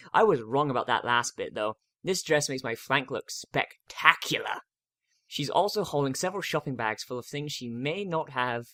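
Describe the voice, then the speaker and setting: A man giving a dramatic first-person reading of a story, expressive and wry. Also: mid-range voice, no echo, clean studio sound. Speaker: narrator of a fan fiction reading.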